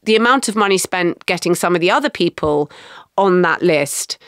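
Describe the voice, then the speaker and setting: A woman co-hosting a podcast in casual, gossipy chat, full of quick asides. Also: on the low side, lively intonation, clean tone, dry recording, studio quality. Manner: chatty, gossipy, quick asides and self-corrections. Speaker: podcast co-host.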